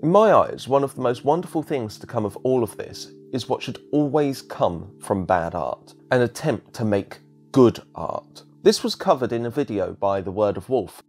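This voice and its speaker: A man's voice: medium pitch, big pitch swings, normal speed, darkish tone, soft background music. A man narrating a scripted video essay as its presenter.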